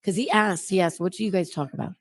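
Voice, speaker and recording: Low-voiced woman in her fifties, casual chat. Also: quick pace, no echo. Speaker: talk show co-host.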